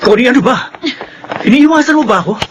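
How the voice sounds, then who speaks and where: A man's voice: very high-pitched, expressive, fast talker, dull audio, over music. An actor playing a defensive, irritated young man in a radio drama, confrontational and exasperated. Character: Ricky, defensive irritated young man who shirks responsibility. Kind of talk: Filipino radio drama acting.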